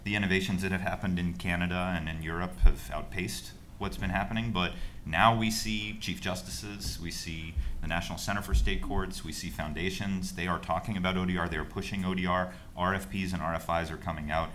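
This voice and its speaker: A man's voice: deep voice, steady tone, a little fast, hardly any pauses, fairly bright tone, slight background noise. A middle-aged man from the United States speaking on a conference panel, fluent and upbeat, laughing at himself now and then. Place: conference room with audience. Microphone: table microphone.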